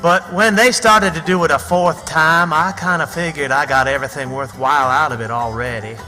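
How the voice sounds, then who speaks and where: A man's voice: a little high, steady tone, moderate pace, bright, forceful tone. An actor playing a plucky runaway country boy with an American Southern accent, folksy and colloquial, in a radio play. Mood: wry storytelling. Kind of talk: old-time radio theatre, first-person storytelling. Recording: reverberant sound with faint music.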